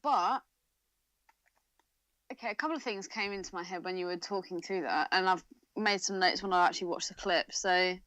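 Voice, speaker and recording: A woman's voice: on the low side, normal speed, long pauses, a bit bright, no room sound. A woman co-hosting a podcast in casual chat, with a blunt, irreverent, teasing manner. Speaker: podcast co-host.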